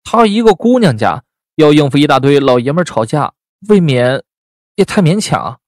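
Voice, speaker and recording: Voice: medium pitch, big pitch swings, moderate pace, a little mellow, no room sound, clean studio sound, close to an everyday voice. A performer voicing a kind-hearted young woman who frets aloud and questions things. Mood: fretful. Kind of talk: audio drama acting.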